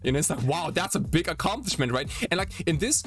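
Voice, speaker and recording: A man of twenty-nine from Germany chats casually as a podcast host, rambling and halting with many fillers. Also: fairly high pitch, expressive. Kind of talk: casual chat. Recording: music behind the voice. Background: faint background music.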